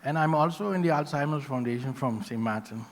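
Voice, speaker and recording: A man's voice: medium pitch, a little fast. Man introducing himself in an echoey room. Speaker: workshop facilitator. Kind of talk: brief self-introduction. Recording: echoey conference hall.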